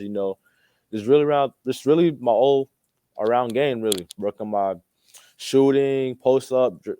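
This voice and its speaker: Low, mellow-voiced young man chatting casually. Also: no echo. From Australia. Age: young adult. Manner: informal, repetitive phrasing. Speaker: college basketball player, interview guest. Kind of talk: casual interview chat.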